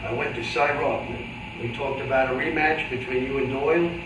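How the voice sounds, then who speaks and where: A man's voice: mid-range voice, fairly even intonation, moderate pace, lightly acted. A man in an amateur staged reading playing an encouraging, businesslike boxing manager. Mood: upbeat, businesslike. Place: echoey indoor room, staged reading. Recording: distant echoey room, clear noise.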